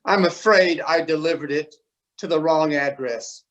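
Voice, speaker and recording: A performer playing an anxious, apologetic postman in a readers theatre children's story, halting and fretful. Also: mid-range voice, fairly bright tone, highs cut off, lightly acted.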